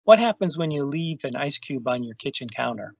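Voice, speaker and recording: A man's voice: mid-range voice, lively intonation, brisk, gravelly, dry recording. A man reading a children's science book aloud over a video call. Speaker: storytime reader. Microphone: video call microphone. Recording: dull, lo-fi video call audio.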